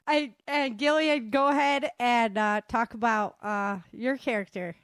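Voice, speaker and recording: A woman's voice: fairly high pitch, fairly even intonation, unhurried, a bit bright, clean tone, no echo. A woman hosting a casual group chat, talking in a chatty, giggly way. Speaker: host and author of an audio drama.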